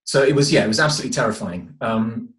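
A man's voice: mid-range voice, quick pace, a bit bright. A middle-aged man, a stage actor, answering interview questions thoughtfully and hesitantly on a video call. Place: indoors at home. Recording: roomy, echoing video call sound.

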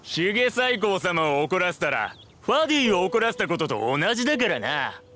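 An actor playing a bullying, mocking thug debt collector with rough, threatening speech in an anime game dub. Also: male voice, high-pitched, sing-song, normal speed, sharp tone, a little hiss or hum, exaggerated voice. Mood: menacing. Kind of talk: Japanese anime game dubbing.

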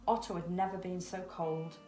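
Female storybook reader, middle-aged, slightly low voice, expressive narration, roomy home recording. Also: normal speed, soft background music. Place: small room at home, indoors. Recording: echoey domestic room.

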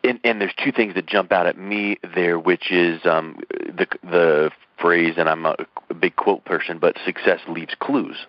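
Low-voiced radio host guy, chatty and upbeat, kinda dull dry audio. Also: fairly even intonation, unhurried, hardly any pauses, no echo. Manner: upbeat, chatty, sums up guest's points. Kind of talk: interview host chat.

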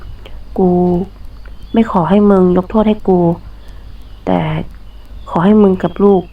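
A woman's voice: mid-range voice, fairly even intonation, moderate pace, natural voice. A woman reading a tender young man, nasal. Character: intense young man confessing love. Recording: muffled, distant home mic.

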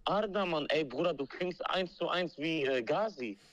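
A man with a slightly high voice heard over a dull phone connection. He chats casually as a caller, using street slang sprinkled with Arabic words. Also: animated, moderate pace, fairly bright tone. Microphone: phone call played into a stream. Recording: dull, band-limited phone call.

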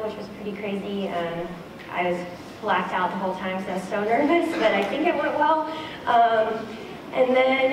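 A woman's voice: mid-range voice, background noise. A woman in her twenties giving a candid, earnest talk from a large auditorium stage on a headset mic, with frequent ums and restarts. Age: 20s. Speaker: speaker. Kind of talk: conference talk. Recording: echoey hall, heard from far off.

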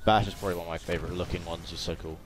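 Low-voiced young man commenting on gameplay. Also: steady tone, very fast, heavy background noise. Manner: rambling, playful, repeats words. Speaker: gaming video host. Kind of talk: casual let's-play commentary. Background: video game music and sounds.

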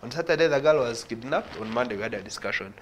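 An earnest young man with a Ugandan accent and a middle-pitched voice recounts his points on a studio panel. A lapel mic picks him up over a faint hiss. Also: animated, fast talker, rough recording. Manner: earnest, illustrates points with hand gestures. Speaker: studio guest, youth organisation director.

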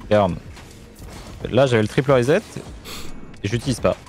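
A man's voice: medium pitch, normal speed, darkish tone, soft background music. A man chatting wryly and casually with a friend while playing a game. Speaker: gaming partner. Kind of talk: casual gaming chat.

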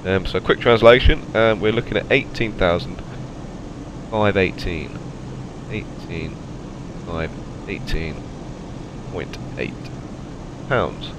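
A man with a cold and a slightly raspy voice, chatting casually through a flight simulator cockpit setup. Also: sits low, noisy background. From England. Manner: relaxed, chatty, many ums and okays. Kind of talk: casual narrated cockpit walkthrough.

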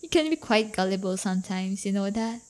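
A woman's voice: medium pitch, expressive, a little mellow, close to an everyday voice. A woman playing a playful, crafty but affectionate teenage delinquent girlfriend in an intimate roleplay audio, teasing and coaxing. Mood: playful mocking. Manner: teasing, coaxing, drawn-out delivery.

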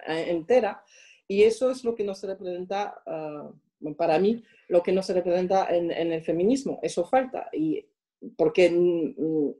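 A woman from France with a French accent, giving reflective interview answers and often pausing to search for the right words. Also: medium pitch, dark tone. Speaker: interviewed guest.

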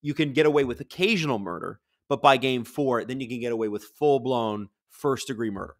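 A man in his thirties with a booming voice, hosting a casual live watch-along from a studio, chatting to viewers and breaking into excited play-by-play. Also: brisk. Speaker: livestream host.

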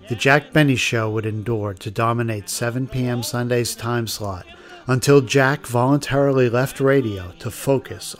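A man reading scripted narration as the narrator of a history documentary. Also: medium pitch.

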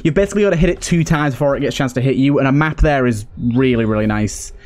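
A man's voice: medium pitch, fast talker, a little mellow. A man giving casual commentary as he plays a video game, as host of a let's play.

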